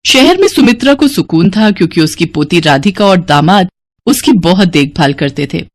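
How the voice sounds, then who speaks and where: A woman's voice: on the low side, animated, normal speed, no echo. A woman storyteller delivering scripted narration for a moral bedtime story.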